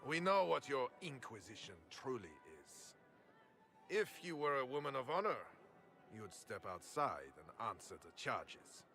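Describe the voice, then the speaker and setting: Arrogant, sharp-voiced nobleman. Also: medium pitch, big pitch swings, unhurried, soft background music, full character voice. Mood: scornful, challenging. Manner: sneering, theatrical, contemptuous. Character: arrogant, scornful hot-headed nobleman, a marquis. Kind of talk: dramatic video game acting.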